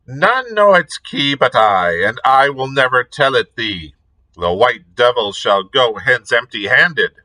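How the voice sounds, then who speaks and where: A man voicing an ancient, cruel female witch, with a shrill falsetto and cackling laughter. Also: big pitch swings, slow, sharp tone, dry recording, full character voice, rough recording. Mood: menacing. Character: ancient shrieking hag witch, cruel and defiant.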